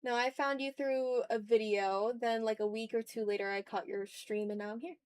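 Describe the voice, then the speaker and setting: A woman's voice: a little high, fairly even intonation, normal speed, clean tone, no echo. A woman hosting a livestream, chatting informally and expressively straight to her viewers. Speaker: art livestream host. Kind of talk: casual livestream chat, reading and answering viewer messages.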